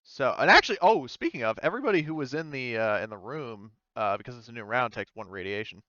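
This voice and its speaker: A man's voice: medium pitch, lively intonation, quick pace, sharp tone, dry recording, dull audio. A man acting as game master in a casual tabletop roleplaying session, hesitant and thinking aloud as he checks rules.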